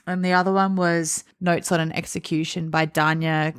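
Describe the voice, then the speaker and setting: A woman's voice: on the low side, little pitch movement, moderate pace, smooth, no room sound. A woman co-hosting a book podcast, talking casually about books.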